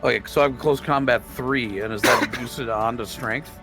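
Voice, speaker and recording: A man's voice: mid-range voice, steady tone, normal speed, pushed and bright. A man chatting casually as a player in a tabletop roleplaying game. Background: music.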